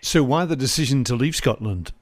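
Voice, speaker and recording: A man's voice: mid-range voice, animated, brisk, dry recording. A man hosting a radio-nostalgia interview show, introducing his guest and asking questions. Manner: upbeat, scripted intro. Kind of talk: podcast interview.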